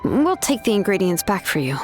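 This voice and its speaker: A woman's voice: medium pitch, big pitch swings, fairly quick, soft background music, natural voice. A woman playing an easygoing, confident young female adventurer in a video game, with a casual, breezy way of talking. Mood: relaxed.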